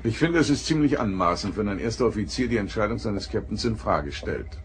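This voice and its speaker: An actor playing a reserved, middle-aged male starship captain, formal and measured, in a German sci-fi audio drama. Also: on the low side, animated, close to an everyday voice. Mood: stern, probing.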